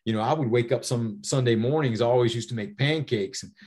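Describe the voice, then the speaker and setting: A man's voice: medium pitch, fast talker, darkish tone. A middle-aged man with an American accent answering interview questions conversationally, explaining things with personal anecdotes and often saying "you know". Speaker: podcast guest, safety author and former federal air marshal.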